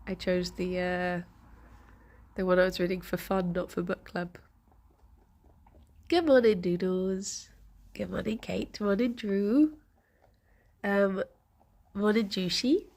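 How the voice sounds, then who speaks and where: A young woman streamer with a congested, bunged-up voice, chatting softly with viewers and laughing often. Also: mid-range voice, takes it slowly, long pauses. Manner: soft, chatty, laughs often, greets chat by name. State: congested, stuffy nose. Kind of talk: casual morning chat with viewers.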